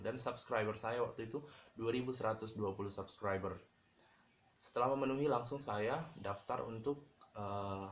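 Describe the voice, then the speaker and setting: Guy from medan vlogging at home, mid pitch, kinda halting, faint noise behind. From Medan, Indonesia. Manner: relaxed, halting, many fillers and restarts. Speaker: YouTuber. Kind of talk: casual tips vlog.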